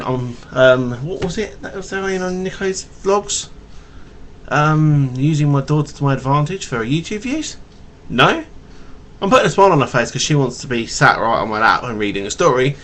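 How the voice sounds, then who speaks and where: A man with a slight stutter reading a picture book to his young child in a playful, animated way, with casual asides, recorded on a home webcam. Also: fairly high pitch, brisk, slight background noise. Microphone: webcam mic.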